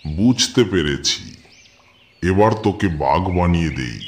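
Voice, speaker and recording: A man's voice: deep voice, animated, a little slow, slight background noise. A man playing an exasperated but helpful forest holy man in a children's cartoon, chiding and chanting. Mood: indulgent. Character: exasperated but helpful male holy hermit casting spells. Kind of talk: children's moral cartoon acting.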